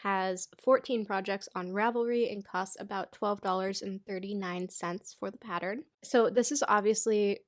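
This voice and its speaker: A stuffy-nosed young American woman talks in a loose, chatty way, full of "like" and wry jokes at her own expense. Her voice sits at an ordinary middle pitch and is recorded close and dry, with no room sound around it. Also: steady tone, normal speed, few pauses, dry recording. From United States. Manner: chatty, self-deprecating, lots of "like". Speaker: knitting YouTuber. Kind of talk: casual chatty pattern roundup.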